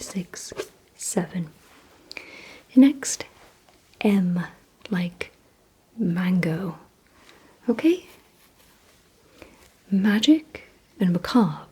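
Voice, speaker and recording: A woman whispering in an ASMR roleplay, giving gentle instructions in a breathy, mostly voiceless hush with deliberate pauses. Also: medium pitch, rough recording. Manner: slow, deliberate, hushed delivery with short pauses. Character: calm, softly commanding female vampire examiner. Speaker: ASMR performer.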